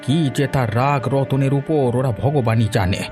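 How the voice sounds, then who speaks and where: A man's voice: medium pitch, moderate pace, music in the background, lightly acted. A man voicing a righteous, hot-headed older village man who scolds indignantly in a cartoon. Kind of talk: cartoon dialogue acting.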